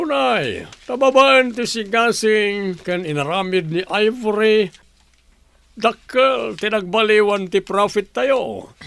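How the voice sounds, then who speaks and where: Radio drama boss, male actor: high-pitched, gravelly, authoritative, Ilocano accent. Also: fairly bright tone, full character voice.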